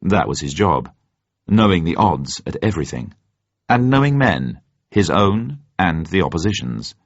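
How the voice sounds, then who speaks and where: A low-voiced man narrates scripted drama with measured, formal phrasing. His pitch swings expressively from phrase to phrase. The audio is dull and slightly muffled. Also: very animated, no echo.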